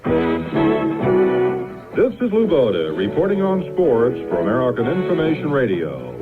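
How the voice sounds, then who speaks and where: A man reading network news and sports in a crisp, wry style. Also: mid-range voice. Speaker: network newscaster. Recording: tinny 1970s radio broadcast.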